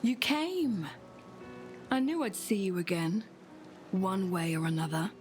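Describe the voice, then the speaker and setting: A mysterious witch acted by a woman with a mid-pitched voice and solemn, portentous delivery. She speaks in measured, earnest phrases like a keeper of ancient secrets in a fantasy game, with faint music behind her. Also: lightly acted. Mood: knowing. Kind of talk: dramatic fantasy game acting.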